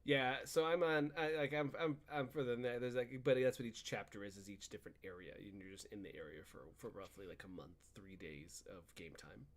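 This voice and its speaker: A man's voice: on the low side, a little fast, hardly any pauses. Rambling, bro-talking male gaming podcaster. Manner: informal bro slang, rambling storytelling, acting out dialogue. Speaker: podcast co-host. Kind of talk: casual chat about video games.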